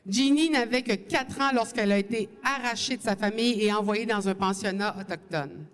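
A woman's voice: medium pitch, fast talker. A woman from Quebec, a native French speaker, co-hosting an event with solemn, scripted public speech. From Quebec, Canada. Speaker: event co-host. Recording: long reverb of public-address sound.

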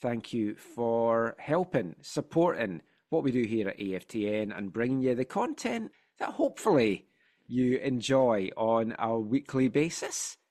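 A Scottish man hosting a football podcast chat, his pitch swinging up and down as he tells a story. He sounds a bit congested from a sinus infection. He talks in a chatty, playful way, full of asides. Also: animated, unhurried, darkish tone. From Scotland. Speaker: podcast host.

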